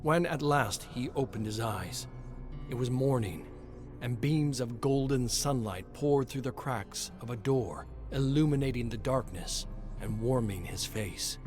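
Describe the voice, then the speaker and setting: A man's voice: medium pitch, unhurried, a little mellow. A man reading scripted, dramatic horror narration. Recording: music under the voice. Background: music.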